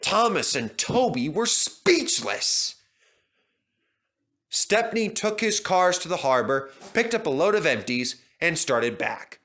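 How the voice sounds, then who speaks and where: Animated male children's storyteller, bright voice. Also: fairly high pitch, slow. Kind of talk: scripted children's story narration.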